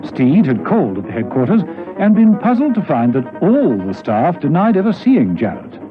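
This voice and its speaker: Male narrator, scripted spy drama, animated delivery, muffled vintage radio sound, music behind. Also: fairly high pitch, normal speed. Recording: dull old radio recording with music.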